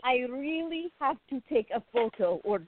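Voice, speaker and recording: A woman's voice: high voice, lively intonation, moderate pace, phone-quality sound. A woman playing a smitten, eager, affectionate young woman in a radio play. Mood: coaxing.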